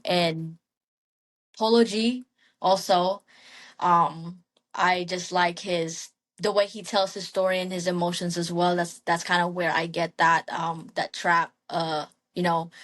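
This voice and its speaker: Young woman, from the Philippines, lowish voice, hesitant casual chat, ums and restarts. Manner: soft-spoken, frequent ums and restarts, light laughs. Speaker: guest singer.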